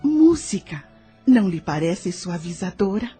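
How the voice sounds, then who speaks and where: A woman playing a gentle spirit caretaker in an audio drama, calm and tender, with a soft, velvety voice. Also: medium pitch, unhurried, breathy edge, faint music behind, heavily acted. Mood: gently reassuring. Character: gentle, soothing female spirit caretaker of the newly dead.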